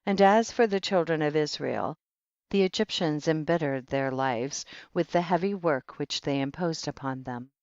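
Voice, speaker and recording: A man giving a solemn, measured audiobook narration of old scripture in archaic wording. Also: on the high side, darkish tone, dry recording, muffled recording. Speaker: audiobook reader.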